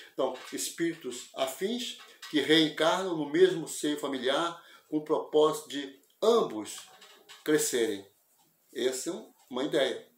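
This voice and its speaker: An older man giving a spiritist lecture over a video call, speaking in a measured, reflective and explanatory way through an earphone mic in a small room at home. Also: on the high side, roomy sound. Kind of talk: religious lecture. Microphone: wired earphone mic on a video call.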